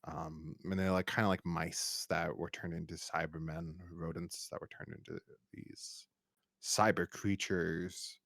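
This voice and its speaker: A man hosting a podcast in a casual review chat, rambling and halting with repeated false starts. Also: deep voice, little pitch movement, gravelly, fairly bright tone, dry recording, studio quality. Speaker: podcast host.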